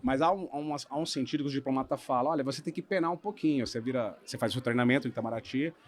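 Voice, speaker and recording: A man from Brazil, a lawyer in his thirties, telling the story of his career in a relaxed podcast conversation, often checking in with "né?". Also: medium pitch, very fast. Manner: relaxed storytelling, hedging with frequent "né?". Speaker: guest lawyer. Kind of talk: casual podcast interview.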